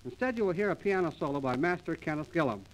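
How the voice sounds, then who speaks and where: A man's voice: a little high, expressive, quick pace, a little hiss or hum, poor audio. A man announcing and trading patter in a 1930s radio comedy, with a mock-formal, wisecracking manner. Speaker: comedy duo host, announcer and straight-faced joker. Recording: early 1930s radio transcription disc.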